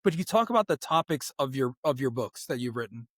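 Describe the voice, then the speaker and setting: A man's voice: mid-range voice, big pitch swings, a little fast, dry recording, studio quality. A man hosting a podcast, chatting casually and informally in an upbeat way as he interviews a guest. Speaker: podcast host.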